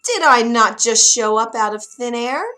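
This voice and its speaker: A woman voicing a brisk, bossy fairy godmother who gets huffy. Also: fairly high pitch, sharp tone, faint music behind, pitched above the performer's own voice. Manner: huffy, matter-of-fact.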